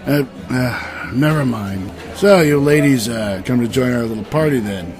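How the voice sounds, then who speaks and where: A man's voice: medium pitch, moderate pace, lightly acted. Man playing a blunt, wisecracking fantasy barbarian. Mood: wry. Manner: blunt, sarcastic, casual. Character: gruff but civilized, wisecracking male barbarian adventurer. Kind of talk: comic fantasy audio drama acting. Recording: music playing behind the voice.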